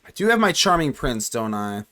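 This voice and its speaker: Animated young man streaming a card game. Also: medium pitch, moderate pace. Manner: animated, talks to himself and chat, jokey. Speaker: gaming streamer. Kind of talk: live gameplay commentary.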